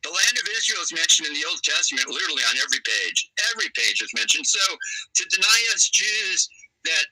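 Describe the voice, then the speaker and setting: Angry profane man, very bright voice. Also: a little high, sing-song, fairly quick, low-quality recording. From California. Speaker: panel guest. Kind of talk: heated live panel debate.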